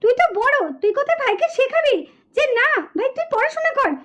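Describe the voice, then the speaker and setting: A woman's voice: extremely high pitch, fast, fairly bright tone. A woman voicing a mother who scolds her daughter and dotes on her son. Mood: scolding. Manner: scolding, coaxing. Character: mother Pushpa, scolding but doting.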